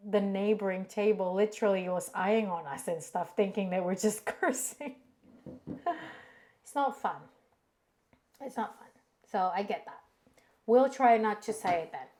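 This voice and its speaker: Indonesian-accented woman chatting on a cooking stream, lots of ums, reads chat out loud. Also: mid-range voice. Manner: chatty, laughs often, hesitant fillers. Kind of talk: casual chat, reading viewer comments.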